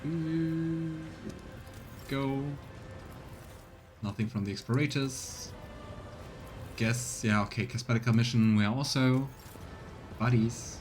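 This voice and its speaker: A man's voice: medium pitch, slow pace, long pauses. A man giving casual let's play commentary, musing aloud and reading game text out as he streams. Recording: game music behind. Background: video game music.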